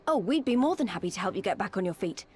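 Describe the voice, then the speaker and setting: An actress playing an eager, earnest young adventurer in a video game, politely keen. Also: female voice, medium pitch, sing-song, fast talker, darkish tone, faint music behind, lightly acted. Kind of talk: scripted video game dialogue.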